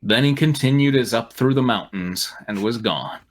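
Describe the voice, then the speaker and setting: A man hosting a comedy reading of fan fiction, narrating aloud and breaking off for sarcastic, animated asides. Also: medium pitch, moderate pace. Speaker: host and narrator.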